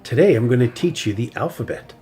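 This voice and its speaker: A man's voice: medium pitch, animated, fast, warm tone, close to an everyday voice. A man voicing a bossy, bragging young female bear cub with a scolding manner.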